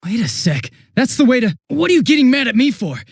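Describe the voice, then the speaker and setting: A man voicing a young police detective in a video game, affable and easily flustered, with a casual, hesitant and excitable way of talking. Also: very high voice, brisk, vocal fry, husky, no echo, studio quality, exaggerated voice. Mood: flustered, alarmed. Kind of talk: English video game voice acting.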